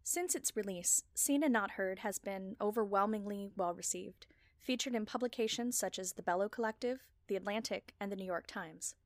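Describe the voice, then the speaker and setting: A woman delivering a scripted personal talk as a podcast creator, with measured, articulate phrasing and deliberate emphasis. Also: medium pitch, no room sound, studio quality.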